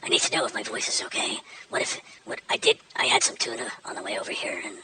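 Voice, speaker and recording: A man's voice: on the low side, steady tone, fast, pushed and bright, a little hiss or hum. A man voicing a nervous, self-important cartoon shark who hosts a show and rambles anxiously. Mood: fretful. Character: chatty nervous male cartoon shark host.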